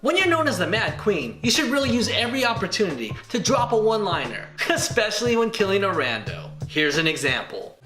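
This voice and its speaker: A man narrates a comedic movie review with a lively, swooping delivery and a showman's playfulness. His voice sits high for a man, in a roomy echo with loud music behind it. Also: fairly quick, hardly any pauses.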